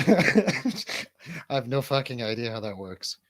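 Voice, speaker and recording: A man's voice: medium pitch, sing-song, a little slow, no echo. Joking American man, dry close sound. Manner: joking, rambling, profane. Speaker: DJ co-hosting a comedy radio show. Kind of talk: casual comic banter.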